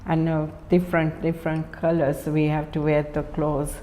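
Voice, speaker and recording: A woman's voice: deep voice, level tone, moderate pace, warm tone, a little hiss or hum. A woman from Kenya telling her life story in an interview, hesitant, with many fillers and restarts. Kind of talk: personal storytelling.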